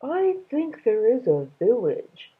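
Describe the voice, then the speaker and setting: Woman voicing a hesitant, raised-pitch storybook dragon. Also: huge pitch swings, slow, husky, narrow, tinny audio, heavily acted. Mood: observant, caring. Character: friendly, bumbling male dragon companion in a storybook.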